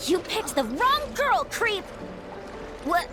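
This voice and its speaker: A woman voicing a brash, excitable teenage girl in a video game, with a theatrical, cheeky delivery. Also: extremely high pitch, slow, loud music behind the voice, full character voice. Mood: defiant. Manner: theatrical, cheeky, self-scolding. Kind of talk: video game cutscene voice acting.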